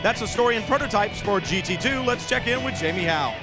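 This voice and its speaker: Fast-talking high-voiced pit reporter man. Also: over loud music. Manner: quick, lively reporter delivery. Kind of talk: race report over replay footage.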